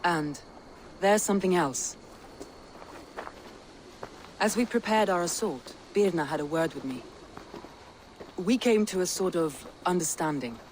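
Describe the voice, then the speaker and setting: Earnest, low-voiced Viking woman leader. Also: expressive, a little slow, pauses often, darkish tone, a little hiss or hum, rough recording. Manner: earnest, formal. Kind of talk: dramatic video game acting.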